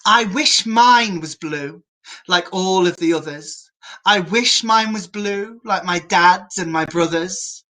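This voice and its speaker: Bright-voiced, slow-paced woman storyteller. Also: on the low side. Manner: animated, encouraging, theatrical, with gasps. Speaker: children's storyteller. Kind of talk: expressive picture-book reading aloud to children.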